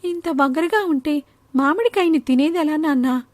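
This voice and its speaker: Woman voicing a high-pitched curious little girl. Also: moderate pace, dry recording, lightly acted. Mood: puzzled. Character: curious, cheerful young village girl, farmer's daughter. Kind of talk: animated moral story acting.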